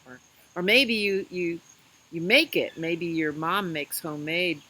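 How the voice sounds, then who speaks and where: A woman hosting a children's storytime, reading aloud in an expressive, sing-song way and talking directly to young listeners. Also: fairly low pitch, a little slow. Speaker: children's librarian, storytime host.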